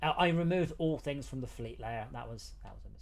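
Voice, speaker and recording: A man's voice: medium pitch, brisk. A man chatting casually as the developer on a live game stream, rambling and teasing in a jokey, exasperated way.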